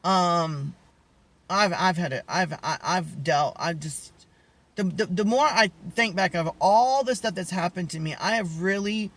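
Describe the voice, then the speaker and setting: A young man with a high voice, telling personal stories in a rambling, candid way, often losing his thread. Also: a little slow, a bit bright. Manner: rambling, candid, lots of restarts and asides. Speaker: vlogger. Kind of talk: casual storytelling to camera.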